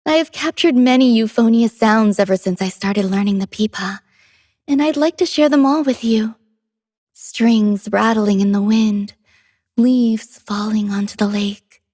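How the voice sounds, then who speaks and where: A woman voicing a gentle, devoted female android musician in a video game, soft-spoken, tender and poetic in a measured delivery. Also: on the high side, no room sound, studio quality. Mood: tender, serene. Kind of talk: scripted game character voice lines.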